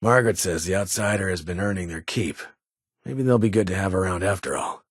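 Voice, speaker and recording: An actor playing a weary, formal middle-aged military security chief in a video game, speaking in a restrained, dutiful way. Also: male voice, low voice, brisk, breathy edge, dry recording, studio quality. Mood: concerned, firm. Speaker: vault chief of security, former army science advisor. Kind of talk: video game character dialogue.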